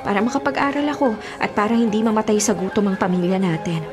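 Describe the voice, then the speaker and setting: An actress playing a caring, worried young daughter in a Filipino radio drama, respectful and concerned. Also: female voice, medium pitch, animated, fast talker, over music, close to an everyday voice.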